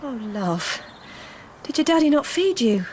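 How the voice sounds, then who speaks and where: Radio drama: guarded, weary, loving mum of a small girl, lightly high voice, faint background noise. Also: sing-song, a little slow, darkish tone. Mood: tender. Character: tired, guarded, loving single mother of young girl.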